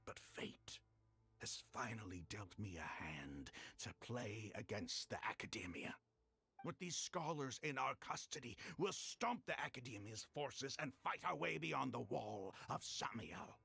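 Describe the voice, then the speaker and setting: A proud, hostile desert mercenary leader in a video game. His voice is gravelly and husky yet bright, moving widely in pitch. He sneers at his enemies and broods over his faith. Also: full character voice. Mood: brooding. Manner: scornful, gravelly, dramatic.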